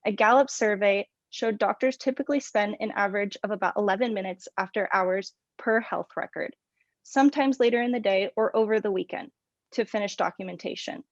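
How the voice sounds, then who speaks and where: A woman's voice: mid-range voice, a little fast, no room sound. A woman giving scripted explanatory answers as a panelist on a health education program. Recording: dry, dull lo-fi sound.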